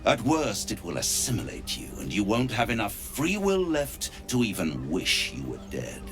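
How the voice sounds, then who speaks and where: Suave devil, low and hugely expressive. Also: male voice, over music. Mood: smug, menacing. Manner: grandiloquent, taunting, savours words with dramatic pauses. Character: suave, theatrical male devil dealmaker, smug and menacing. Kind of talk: video game character acting.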